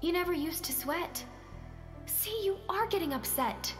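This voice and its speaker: High-voiced, coaxing, persistent woman played by an actress. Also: animated, a little slow. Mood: pressing. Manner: coaxing, probing, hesitant at times. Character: Sonia, caring, persistent woman nudging a withdrawn man. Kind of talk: naturalistic stage-play dialogue. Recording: roomy sound with music behind.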